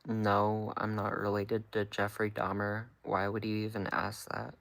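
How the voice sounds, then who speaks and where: A man's voice: lowish voice, monotone, measured pace, gravelly, a bit bright. A young man from California with an American accent, chatting casually on a livestream and giving laid-back, offhand replies to viewers. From California, United States.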